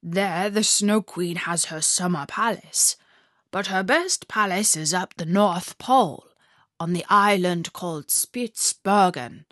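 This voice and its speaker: A woman's voice: lowish voice, takes it slowly, a bit bright, no echo, clean studio sound. A woman doing a proud storybook reindeer. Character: proud male reindeer from the snowfields.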